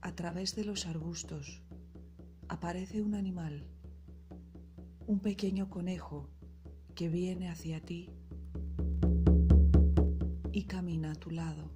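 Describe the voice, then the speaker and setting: Slow, low-voiced woman guiding meditation over music. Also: fairly even intonation. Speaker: guided meditation narrator. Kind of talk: guided meditation, scripted narration. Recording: loud percussive meditation music behind voice.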